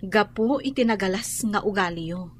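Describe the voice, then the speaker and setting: An actress with an Ilocano accent playing an aggrieved, firm but forbearing woman homeowner in a radio drama, measured and reproachful. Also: medium pitch, slightly rough, close to an everyday voice.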